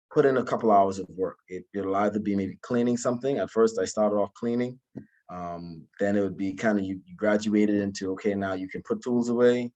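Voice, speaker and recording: A man, giving an informal talk about his own story in a polite, humble way, often halting and repeating words. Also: lowish voice, a little mellow, low-quality recording. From Bahamas. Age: 20s. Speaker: guest speaker, alumnus.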